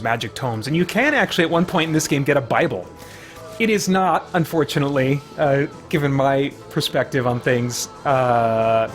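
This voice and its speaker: Higher-voiced man streaming a game, music behind. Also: fairly bright tone. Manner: rambling, breaks off mid-thought to react to game and chat. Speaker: video game livestream host. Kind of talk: casual livestream gameplay commentary.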